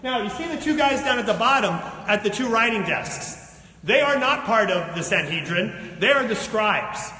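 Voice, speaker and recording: A man's voice: high-pitched, animated, moderate pace, sharp tone. A man preaching in an informal, colloquial way, telling a story and explaining it with asides. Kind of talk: sermon, conversational storytelling and teaching. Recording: echoey hall, distant mic, faint noise.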